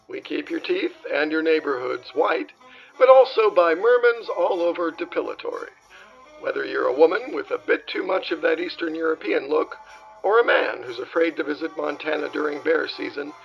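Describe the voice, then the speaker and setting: A man playing an announcer reading comic sponsor ads in 1940s radio style, with a gravelly voice. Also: fairly high pitch, muffled recording.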